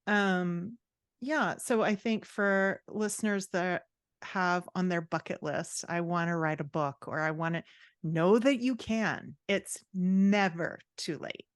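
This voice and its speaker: A woman's voice: on the low side, slow, clean tone, no room sound, studio quality. A woman speaking as an interview guest, an author and writing coach who explains things in a conversational way.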